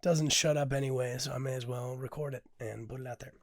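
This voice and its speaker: Hoarse male podcaster, candid and raw, casual swearing, drops to a hush, dry close mic. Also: mid-range voice, fairly even intonation, fast, no room sound. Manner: candid, unpolished, swears freely, many ums. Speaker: podcast host and poet. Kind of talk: casual reflective monologue with poetry reading.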